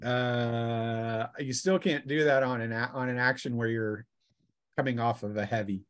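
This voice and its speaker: A man with an average-pitched voice runs a tabletop role-playing game. He narrates combat casually as game master and teases the players. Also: fairly even intonation, a little slow, clear, resonant tone, no echo.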